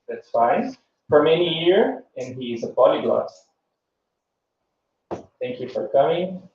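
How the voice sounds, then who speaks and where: Male workshop moderator, slow and halting, heard from a distance in a small echoey amphitheater on a dull livestream. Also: mid-range voice, big pitch swings. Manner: halting, slow introductions with many fillers. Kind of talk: opening remarks at an academic workshop. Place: small amphitheater on a university campus.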